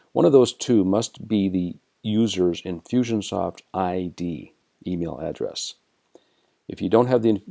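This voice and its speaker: American man, dark low voice, tutorial narration, methodical step-by-step delivery. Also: gravelly. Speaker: software tutorial presenter. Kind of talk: instructional screencast narration.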